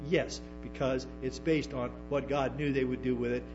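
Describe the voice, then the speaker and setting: A man's voice: medium pitch, flat delivery, normal speed. A man preaching a sermon to a congregation, stumbling through restarts and tossing in emphatic asides. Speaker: pastor. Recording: dull lo-fi sermon recording with music and hum.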